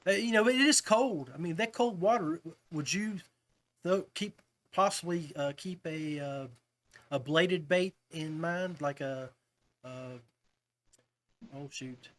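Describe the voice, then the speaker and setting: A man's voice: on the high side, slow pace, pauses often, fairly bright tone. A man hosting a casual fishing podcast, talking to guests in a chummy way, like old buddies. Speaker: podcast host.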